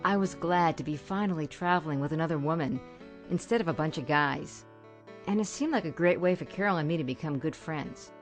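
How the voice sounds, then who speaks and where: A woman's voice: sits low, fairly quick. An older woman with an American accent narrates a scripted personal story as a radio host. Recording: guitar music behind voice. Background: guitar music.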